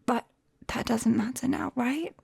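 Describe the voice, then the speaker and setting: Woman acting as gentle sheepish forest witch, British accent, soft breathy ASMR whisper, unhurried, creaky edges. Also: fairly high pitch, fairly even intonation, no room sound, studio quality, full character voice. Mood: coaxing, caring. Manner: hesitant, coaxing, gently apologetic. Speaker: ASMR roleplay performer. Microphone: close binaural microphone.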